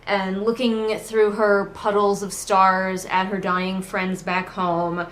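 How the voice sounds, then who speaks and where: A woman's voice: medium pitch, level tone, slow pace, fairly bright tone. Female book-critic host, casual and sarcastic, exasperated mocking delivery, faint background noise. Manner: exasperated, mocking asides and acted-out quotes. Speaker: book critic hosting a readalong. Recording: noticeable background noise, train outside. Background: ambient noise, passing train at times.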